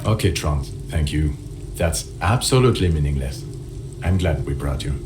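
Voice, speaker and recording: Bitter, low-voiced police detective. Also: male voice, animated, normal speed, noisy background. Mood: dismissive. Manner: sneering, profane, blunt. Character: bitter, abrasive, cynical male police detective. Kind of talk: dramatic video game dialogue. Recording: music behind the voice.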